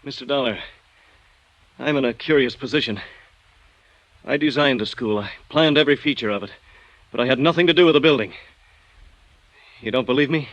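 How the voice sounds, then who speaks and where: An actor playing a bitter, resigned architect just beaten up, speaking with halting, deliberate irony in 1950s radio drama style. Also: male voice, medium pitch, lo-fi sound, lightly acted. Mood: weary, wary.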